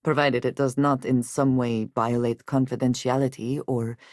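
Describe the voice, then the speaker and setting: An actress playing a guarded, formal woman spacefarer in a video game, loyal but wary and dry, with measured phrasing and few contractions. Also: extremely low pitch, level tone, a little mellow, no room sound. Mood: cool, reserved. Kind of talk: video game voice acting, scripted dialogue.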